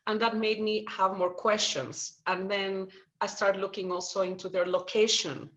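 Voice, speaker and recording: A woman's voice: medium pitch, little pitch movement, moderate pace, fairly bright tone. A middle-aged woman from Greece, a guest in an interview, answering at length in a thoughtful way with many fillers.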